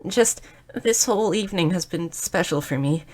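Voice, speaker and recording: A woman's voice: fairly low pitch, expressive, normal speed, a little mellow. A woman playing a shy, clumsy, sweet young goblin girl who stammers earnestly in an intimate romantic audio roleplay. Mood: nervous, earnest. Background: music.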